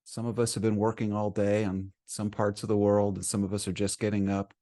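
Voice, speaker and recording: Male meditation guide: lower-pitched voice, soothing and gentle delivery, unhurried phrasing with plenty of pauses. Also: flat delivery. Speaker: meditation teacher.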